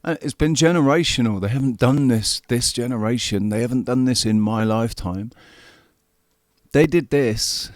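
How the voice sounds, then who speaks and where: A man's voice: mid-range voice, moderate pace, no room sound. A man in his forties giving heated, profane political commentary as the host. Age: 40s. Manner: angry, profane, repetitive emphasis. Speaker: show host commenting on a played clip.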